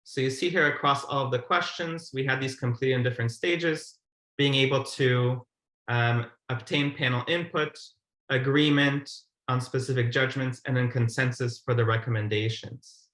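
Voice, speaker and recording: A man's voice: mid-range voice, steady tone, moderate pace, a bit bright. A man in his thirties giving an explanatory presentation as a webinar speaker on a video call. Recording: roomy, echoey video call.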